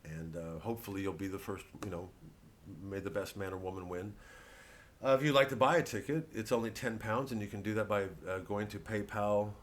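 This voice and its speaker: A male comedian delivering a rambling, halting, sweary satirical monologue full of digressions. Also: fairly low pitch, steady tone. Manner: acts out mock quotes and voices.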